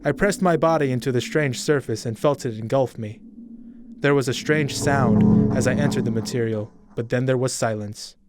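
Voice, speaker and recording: A young man reading a first-person audiobook story in a slow, measured, brooding way. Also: medium pitch, loud music behind the voice. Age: young adult. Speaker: narrator.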